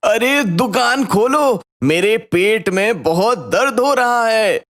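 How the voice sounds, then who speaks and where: A man voicing a sneaky thief in an animated story, grumbling and melodramatic. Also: high-pitched, takes it slowly, exaggerated voice. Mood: desperate.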